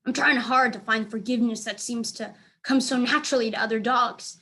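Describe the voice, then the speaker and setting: A woman reading a children's book aloud as a teacher, in an expressive storytelling style. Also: a little high, brisk.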